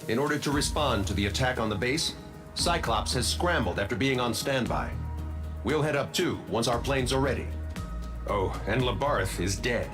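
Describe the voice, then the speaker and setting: A man's voice: mid-range voice, lively intonation, normal speed, over music, natural voice. An actor playing a matter-of-fact male military pilot, speaking dryly in a video game cutscene. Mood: dry, resigned. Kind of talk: scripted cutscene dialogue.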